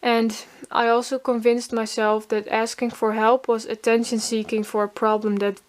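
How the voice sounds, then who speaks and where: A woman's voice: fairly high pitch, flat delivery, normal speed. A teenage girl narrating her own story, stuttering often on first sounds of words. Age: teens. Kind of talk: pre-recorded personal narration.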